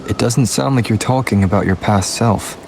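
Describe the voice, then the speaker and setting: A man's voice: on the low side, moderate pace, vocal fry, background noise. An actor playing a serious, reserved young male adventurer in a video game, speaking in an earnest, businesslike way. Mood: calm.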